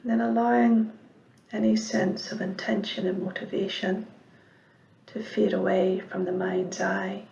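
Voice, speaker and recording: A woman giving guided meditation instruction as a mindfulness teacher, speaking in a measured, gentle way with pauses and soft, breathy phrase endings. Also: medium pitch, flat delivery, muffled recording. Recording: roomy, echoing sound.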